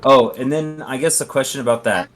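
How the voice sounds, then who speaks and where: Man, medium pitch, casual tabletop gamer, thinking out loud. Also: brisk, faint music behind. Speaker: tabletop game player. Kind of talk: casual chat during a tabletop game.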